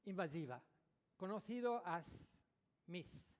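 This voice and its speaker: A man speaking Spanish as a non-native speaker, giving a dental conference lecture, pausing often and correcting his own words. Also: high voice, animated. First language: French or Italian, not Spanish. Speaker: dentist giving a conference talk. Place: large lecture hall. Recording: echoey hall recording.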